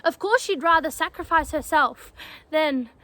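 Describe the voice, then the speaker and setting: A woman performs a young female space cadet with a high voice. She is defiant and grieving, speaking with bitter emotion in a dramatic sci-fi monologue, leaning on words and leaving pauses between them. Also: pushed and bright, dry recording. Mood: sorrowful.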